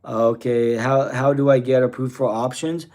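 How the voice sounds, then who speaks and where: Young guy rambling on a livestream, tons of "you know", laid-back chat, middle pitch. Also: level tone, normal speed, darkish tone. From Russia. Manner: rambling, heavy fillers like "you know" and "type deal". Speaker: livestream host. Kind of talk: casual livestream chat reading viewer questions.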